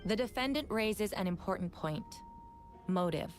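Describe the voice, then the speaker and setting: An actress playing a stern female prosecutor in a video game courtroom, speaking in a formal, measured, deliberate way. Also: medium pitch, expressive, faint music behind, natural voice. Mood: firm, measured.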